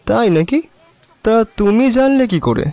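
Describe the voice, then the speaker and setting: A man's voice: sits high, lively intonation, unhurried, mellow, no echo. A man playing a curious, gossipy friend at a tea stall in an audio drama, chatty and inquisitive. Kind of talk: Bengali audio drama acting.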